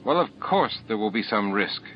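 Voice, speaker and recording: A man's voice: fairly low pitch, lively intonation, moderate pace, slight background noise, natural voice. A man voicing a scheming, sneering male alien rebel in an audiobook. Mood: goading. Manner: goading, sneering. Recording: dull lo-fi audiobook tape.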